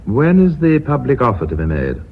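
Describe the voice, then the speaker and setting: Pompous older boardroom chairman: measured, formal, slightly husky, very varied intonation, radio play. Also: mid-range voice, wildly swooping pitch, full character voice. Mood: businesslike. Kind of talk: radio drama acting. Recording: dull, muffled radio recording.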